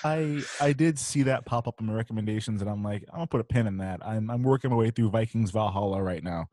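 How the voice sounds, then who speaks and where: A man in his late thirties co-hosting a podcast, relaxed and laid-back, swearing casually as he chats. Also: fairly low pitch, fast talker, no echo. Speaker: podcast co-host.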